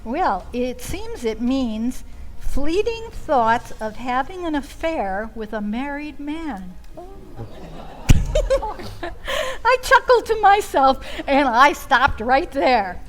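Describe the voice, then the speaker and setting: Lady telling a funny personal story, high voice, takes her time. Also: big pitch swings, slow pace. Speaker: storytelling contestant. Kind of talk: comic first-person storytelling on stage.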